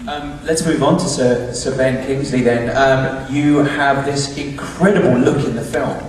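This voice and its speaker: A man's voice: mid-range voice, steady tone, measured pace. A man hosting a live panel Q&A, putting questions in an effusive way. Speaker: Q&A host. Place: large indoor cinema auditorium. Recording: echoey cinema hall, distant, noisy.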